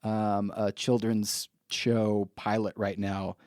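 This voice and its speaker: A man's voice: fairly low pitch, little pitch movement, takes it slowly, a little mellow, dry recording, clean studio sound. A man hosting a podcast in a casual, laid-back and informal way. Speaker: podcast host. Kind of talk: casual chat.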